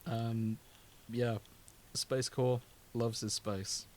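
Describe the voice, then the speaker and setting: A man's voice: medium pitch, little pitch movement, very slow, darkish tone. A British man chatting casually as a podcast co-host.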